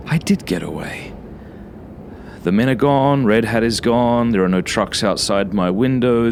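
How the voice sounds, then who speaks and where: A man narrates a horror story in a fairly low voice, taking it very slowly. His delivery is measured and dramatic, like a first-person confession read from a script. Some noise sits behind the recording. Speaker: author reading own horror story.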